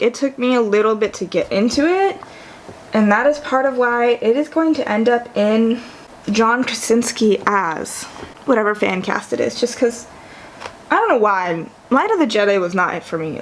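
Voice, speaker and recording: A woman's voice: a little high, a little hiss or hum. A young woman vlogging casually about books, chatty and enthusiastic, correcting herself often and using plenty of fillers. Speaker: book vlogger. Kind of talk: casual vlog tier ranking.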